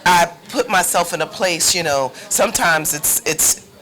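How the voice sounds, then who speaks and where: A woman telling personal stories as an interview guest, with halting, repetitive phrasing. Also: lowish voice, fairly bright tone, soft background music, low-quality recording.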